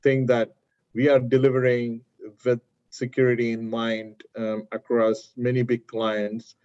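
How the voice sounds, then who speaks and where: A man's voice: medium pitch, little pitch movement, unhurried, a little mellow, clean tone. A man hosting a business webinar interview, agreeable and hesitant, with many fillers, heard through dull lo-fi audio. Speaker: webinar host.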